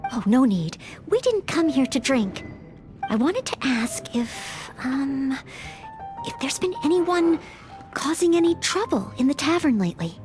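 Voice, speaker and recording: A woman's voice: high-pitched, very animated, a little slow, music in the background. A woman playing an earnest, polite young maid knight in a video game, speaking formally and a little hesitantly, eager to help. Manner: formal, courteous, hesitant phrasing. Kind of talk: scripted anime-style video game acting.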